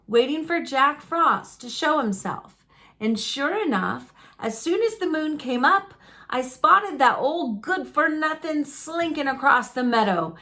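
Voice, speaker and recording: Bright, high-voiced woman reading a storybook slowly. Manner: folksy, dramatic, deliberate delivery. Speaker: read-aloud storyteller. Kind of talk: expressive children's picture book reading.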